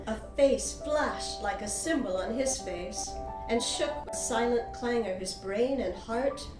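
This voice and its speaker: An actress plays an earnest, yearning young orphaned woman poet, reciting verse with drawn-out, deliberate phrasing in an audio drama. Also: a little high. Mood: musing, rapt. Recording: roomy, echoing, loud music underneath. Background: guitar music.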